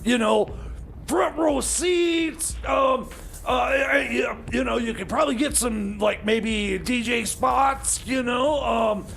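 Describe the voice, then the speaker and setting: Radio comedy role: excitable, scatterbrained time machine mechanic, extremely high strained pitch, gravelly creak, stammering and pushy. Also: male voice, slow, raised voice, slight background noise, exaggerated voice. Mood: insistent, pleading. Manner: stammering, repetitive, pleading.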